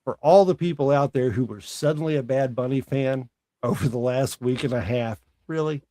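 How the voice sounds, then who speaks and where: A man's voice: mid-range voice, moderate pace, dark tone. A man in his forties hosting a sports talk show, giving casual, opinionated commentary in an emphatic way with rhetorical questions. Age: 40s. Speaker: sports talk podcast host.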